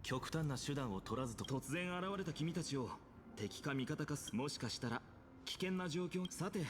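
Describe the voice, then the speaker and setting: A man's voice: a little high, animated, fast, a little hiss or hum, lightly acted. An actor playing a composed young warrior man, courteous but guarded, in a video game. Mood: measured, guarded. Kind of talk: Japanese game voice acting. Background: game music.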